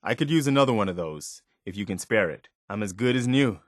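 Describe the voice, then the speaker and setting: A loyal, earnest American militiaman from a video game. His voice sits fairly low and is recorded very dry. He talks plainly and easily, like a caring companion with firm principles. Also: expressive, a little fast, no echo. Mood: friendly. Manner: earnest, easygoing, plainspoken, gently moralizing.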